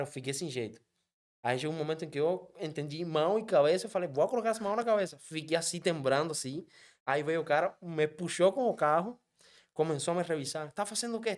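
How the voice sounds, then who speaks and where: A man from Cuba, a native Spanish speaker with a Cuban accent, telling a story in a casual interview and slipping Spanish words into his Portuguese. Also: fairly high pitch. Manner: animated, quick, mixes Spanish words into Portuguese. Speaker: guest, app driver.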